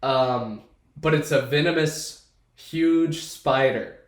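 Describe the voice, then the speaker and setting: A man's voice: medium pitch, slow. A young man co-hosting a podcast, chatting in a laid-back, rambling way with a stuffed-up nose. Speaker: podcast co-host. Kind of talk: casual chat. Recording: echoey room.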